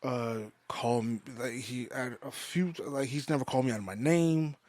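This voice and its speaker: A man in his mid twenties giving a personal podcast monologue, halting and often restating himself for emphasis. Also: medium pitch, a little mellow, no room sound. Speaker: podcast host. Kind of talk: personal monologue, confessional storytelling.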